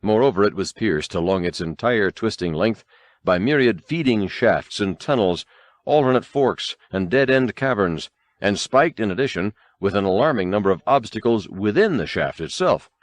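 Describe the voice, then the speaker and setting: A man's voice: lowish voice, expressive, normal speed, no echo. A man narrating an audiobook in a dramatic, scripted storytelling style.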